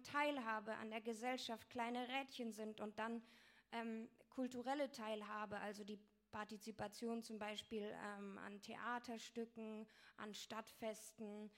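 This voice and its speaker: A young woman giving an explanatory talk with slides, fluent but with frequent fillers and restarts. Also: fairly high pitch. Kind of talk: presentation with slides.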